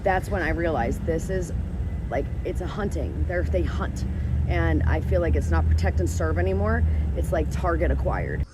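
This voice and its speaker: A woman's voice: sits low, normal speed. An American woman in her thirties telling candid personal stories in an interview outside by a building. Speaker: homeless interviewee. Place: outdoors beside a building. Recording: loud outdoor traffic noise.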